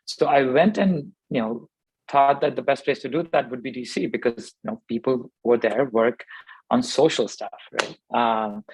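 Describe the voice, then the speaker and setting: Founder from Pakistan, male, 30s, reflective and halting, mid pitch, dry recording. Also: darkish tone, husky, no echo, rough recording. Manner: thoughtful, many fillers and restarts. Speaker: startup founder guest. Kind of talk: conversational interview answers.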